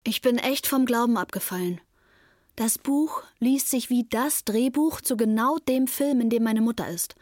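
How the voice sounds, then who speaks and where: High-voiced, wry teenage girl played by a woman. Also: normal speed, darkish tone, dry recording, lightly acted. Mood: wry, sardonic. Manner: dry, sardonic asides. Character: sharp-witted teenage daughter of a manic mother, narrating. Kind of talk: radio play first-person narration. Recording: music behind the voice.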